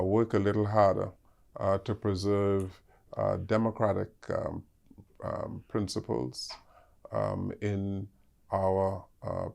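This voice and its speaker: An older man with a Bahamian accent, a guest answering interview questions with many pauses and fillers. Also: on the low side, gravelly, a little mellow. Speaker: ambassador guest.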